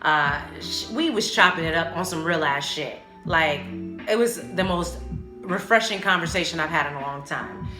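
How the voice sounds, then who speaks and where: Female podcast host, lowish voice, casual and emphatic, slangy and sweary, music behind her. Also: normal speed. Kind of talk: casual solo podcast talk. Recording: roomy echo, music behind the voice.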